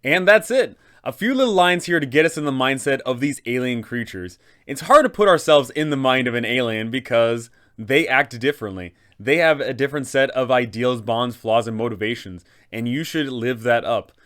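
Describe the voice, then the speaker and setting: Male host for tabletop game advice: enthusiastic, punchy delivery at an average pitch, stressing key phrases. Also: animated, normal speed, few pauses, fairly bright tone. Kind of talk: enthusiastic scripted explainer for game masters.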